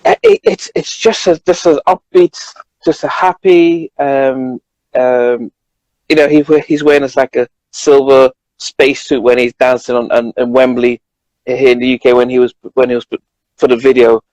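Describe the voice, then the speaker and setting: A man with a British accent in a casual conversation, halting and repeating words as he finds his point. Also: mid-range voice, steady tone, darkish tone, dry recording, dull audio. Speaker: journalist co-host. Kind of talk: casual chat.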